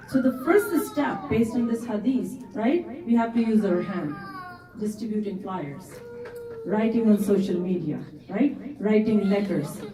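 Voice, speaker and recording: A middle-aged woman whose first language is Urdu, giving an earnest talk to a women's gathering and often adding "right?". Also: medium pitch. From Canada resident. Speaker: community activist speaker. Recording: echoey hall, voice from far off.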